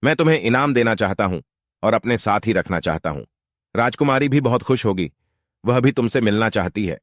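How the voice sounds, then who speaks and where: A man's voice: fairly low pitch, lively intonation, fairly quick, no echo, clean studio sound, pitched above the performer's own voice, lightly acted. A man voicing a kind, grateful young prince in earnest storybook dialogue.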